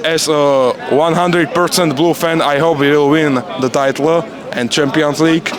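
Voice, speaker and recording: Slow, high-voiced man, halting and giggly. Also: fairly even intonation. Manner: halting, giggly, searching for words. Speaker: football fan being interviewed. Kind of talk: casual street interview. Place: outdoors outside a stadium. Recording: loud outdoor crowd noise.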